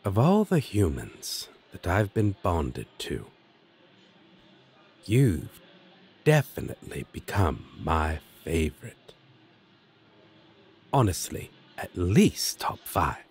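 Guy acting as chill flirty demon roommate for an audio roleplay, low husky voice, slow and teasing. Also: wildly swooping pitch, darkish tone, exaggerated voice. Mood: musing, relaxed. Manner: teasing, casual, drawn-out delivery with dramatic swoops. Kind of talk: scripted audio roleplay addressed to listener.

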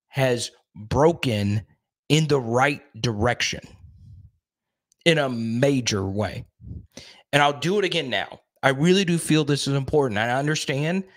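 A man in his thirties hosting a casual live stream, talking into a studio mic in a small room, animated and quick to repeat himself while reading out chat comments. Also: medium pitch. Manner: animated, repeats words, reads chat aloud. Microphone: studio mic on boom arm in front.

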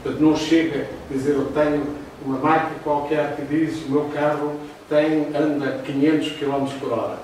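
An older man with a European Portuguese accent giving a formal lecture over slides, pausing often with fillers. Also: on the high side, little pitch movement, darkish tone, a little hiss or hum. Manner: talks through slides, hesitant fillers. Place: classroom with projector. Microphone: far room camera. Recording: roomy echo from far off.

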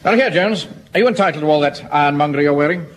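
An actor playing a pompous, self-important middle-aged platoon captain in a radio sitcom. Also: male voice, a little high, racing, background noise, lightly acted. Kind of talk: 1970s radio sitcom acting.

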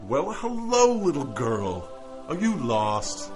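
A man's voice: medium pitch, huge pitch swings, slow, darkish tone. A man playing a bewildered, polite dead lawyer newly arrived in the afterlife, hesitant and puzzled, in a fantasy comedy audio drama. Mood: amazed, curious. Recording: music under the voice.

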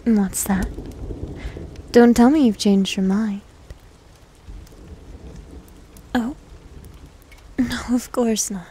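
A woman playing a needy, teasing young succubus demon in an ASMR roleplay, with a soft, breathy, often whispered voice and coy manner. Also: a little high, a little hiss or hum. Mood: coaxing, needy. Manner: coy, slow, coaxing. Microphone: close microphone.

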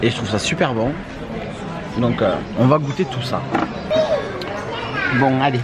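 A man's voice: mid-range voice, unhurried, loud music behind the voice. A man in his thirties chatting casually as a vlogger, repeating himself and tagging his phrases. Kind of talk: casual vlog chat. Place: large wooden restaurant hall, indoors. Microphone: handheld camera at arm's length. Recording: busy restaurant crowd noise.

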